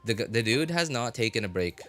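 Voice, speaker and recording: A man streaming a game live, chatting casually and haltingly as he thinks aloud. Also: medium pitch, lively intonation, fairly bright tone. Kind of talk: casual rambling livestream chat. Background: music.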